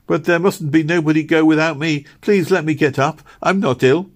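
A man voicing a distressed poor little girl who pleads urgently in a high, tearful voice. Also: fairly even intonation, normal speed, close to an everyday voice. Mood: pleading.

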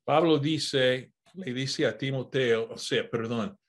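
Slow, halting pastor teaching a study. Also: male voice, mid-range voice. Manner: unhurried, with frequent "ah" fillers and self-corrections. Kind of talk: online Bible study lesson. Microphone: video call.

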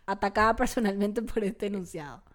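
A woman with a Mexican accent hosting a podcast, chatting casually and reading bits aloud in a relaxed way. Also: medium pitch, fairly quick. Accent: Mexican Spanish. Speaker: podcast host. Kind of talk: casual chat.

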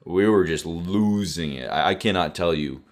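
A young man with a low voice, co-hosting a podcast, chatting excitedly with lots of fillers. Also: normal speed. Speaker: podcast co-host. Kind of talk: casual podcast chat.

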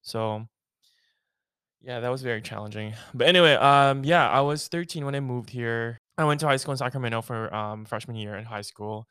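A man's voice: medium pitch, normal speed, fairly bright tone, clear, resonant tone, dry recording, clean studio sound. A young man from the Philippines co-hosting a podcast, playful and teasing, laughing often in casual chat. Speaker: podcast co-host.

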